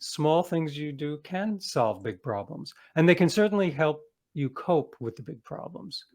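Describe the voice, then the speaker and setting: A man's voice: a little high, lively intonation, unhurried, darkish tone. Reflective man talking in an interview. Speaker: guest author being interviewed. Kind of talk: conversational interview.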